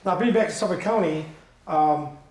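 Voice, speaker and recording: A man's voice: a little high, moderate pace, darkish tone. A man hosting a podcast, asking questions in a laid-back, profane way. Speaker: podcast host. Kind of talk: casual interview conversation. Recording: echoey room.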